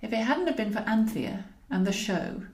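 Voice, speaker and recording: A woman's voice: a little high, expressive, moderate pace, a little mellow. Woman narrating fiction in an echoey room. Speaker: author reading own novel. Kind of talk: expressive audiobook narration of comic romantic fiction.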